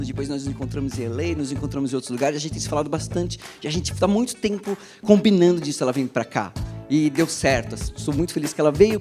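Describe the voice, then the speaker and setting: A man introducing a guest on stage, excited and rambling with frequent restarts. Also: on the high side. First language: Portuguese. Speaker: event host.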